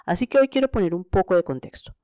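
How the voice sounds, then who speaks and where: A woman's voice: fairly low pitch, lively intonation, quick pace, mellow, no echo. A middle-aged woman with a Colombian accent hosting a podcast alone, reading a scripted personal narration. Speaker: podcast host.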